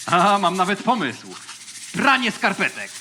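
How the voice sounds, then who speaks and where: A man's voice: high voice, huge pitch swings, measured pace, sharp tone, slight background noise, full character voice. A man playing an eager, naive and timid junior space officer in a children's audio play, hesitant and blustering. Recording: music under the voice.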